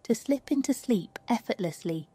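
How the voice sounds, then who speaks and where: A woman reading a scripted guided sleep meditation in a slow, soothing, hushed manner. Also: on the high side, expressive, husky, no room sound. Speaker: meditation narrator. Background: music.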